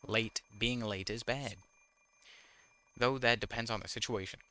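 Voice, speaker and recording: A man's voice: mid-range voice, fairly even intonation, a little slow, bright, forceful tone. A man reading visual novel text aloud with casual, wry commentary, sometimes stumbling over the words. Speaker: gaming YouTuber, host.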